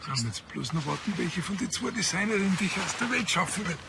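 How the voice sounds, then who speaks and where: A man's voice: sits high, expressive, fast, gravelly, background noise. An actor playing a cheeky, scheming village policeman with a Bavarian accent and a dry, offhand delivery.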